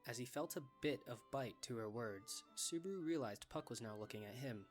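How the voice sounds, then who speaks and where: A man narrates a scripted story in a medium-pitched voice. His lines are delivered as third-person storytelling, with light music underneath. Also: animated, brisk.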